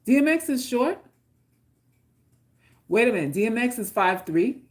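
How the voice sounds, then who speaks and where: A woman's voice: on the high side, big pitch swings, a little mellow. Brash joking woman with a New York accent. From New York, Queens. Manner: blunt, joking, swears freely, quick asides. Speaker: livestream host reading chat. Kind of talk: casual unscripted chat.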